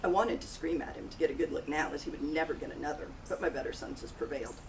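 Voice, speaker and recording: A woman's voice: deep voice, fast talker. Woman reading a novel, distant noisy recording. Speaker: author reading aloud from own novel. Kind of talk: first-person audiobook-style narration of a cozy mystery. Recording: distant mic, loud outdoor noise, birds, crickets.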